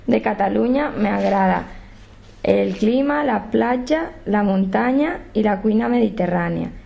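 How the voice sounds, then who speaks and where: Woman, from Galicia, native Galician speaker; very gravelly, mellow; careful learner reading; dull recording. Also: medium pitch. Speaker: Catalan course student. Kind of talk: reading a short self-introduction.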